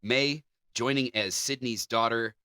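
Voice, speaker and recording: A man's voice: medium pitch, unhurried, sharp tone, smooth, no room sound, clean studio sound. A man co-hosting a podcast, reading out entertainment news with casual banter. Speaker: podcast co-host.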